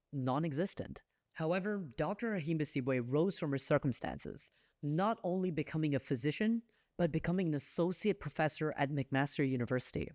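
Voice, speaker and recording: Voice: medium pitch, a little mellow, no echo, clean studio sound. A podcast host reading an earnest introduction and asking interview questions. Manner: earnest, reads from notes, restarts.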